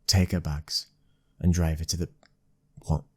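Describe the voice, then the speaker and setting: Very deep, husky British mafia heir played by a man. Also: flat delivery, a little slow. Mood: urgent, firm. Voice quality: low, husky. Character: commanding, protective young male mafia boss's son, loving husband. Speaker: ASMR roleplay performer. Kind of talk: ASMR boyfriend roleplay.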